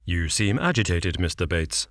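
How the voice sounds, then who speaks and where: A man reads a calm, shrewd detective, voice dropped a little below his own low register. He lays out facts slowly and deliberately, with a dry, understated edge. The recording is close and dry. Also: no room sound, heavily acted. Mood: measured. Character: cool, shrewd male detective, measured and dry.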